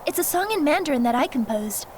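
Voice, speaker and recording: A woman's voice: high-pitched, sing-song, quick pace, darkish tone, slight background noise. A woman playing a polite, coy seductress on a date in an audio drama. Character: poised, secretly scheming seductress on a date.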